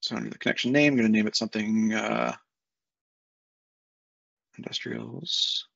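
Gravelly husky man, casual tutorial. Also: medium pitch, fairly even intonation, moderate pace, a bit bright, dry recording. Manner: casual step-by-step narration with fillers. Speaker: software consultant. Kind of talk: screen-share tutorial walkthrough. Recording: dull lo-fi dry sound.

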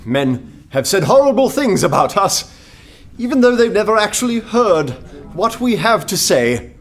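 High-voiced anarchist orator, swooping and earnest. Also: male voice, sing-song, measured pace, soft background music, heavily acted. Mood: grave, earnest. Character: anarchist poet pleading meekness in a nervous election speech. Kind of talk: radio play oratory.

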